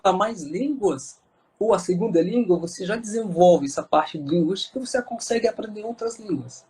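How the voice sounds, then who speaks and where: A man's voice: high-pitched, animated, brisk, a little mellow, slightly rough. A Moroccan man speaking with a Moroccan accent, an interview guest talking casually with many fillers and restarts.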